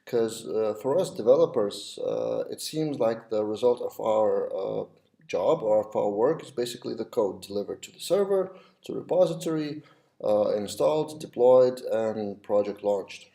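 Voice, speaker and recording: A man's voice: on the low side, lively intonation, normal speed, creaky, warm tone. A man in his thirties from Lithuania with a Lithuanian accent, talking casually to a webcam in a small office, with frequent fillers and restarts. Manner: hesitant, many restarts and fillers. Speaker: web developer vlogger. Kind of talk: casual talk to camera.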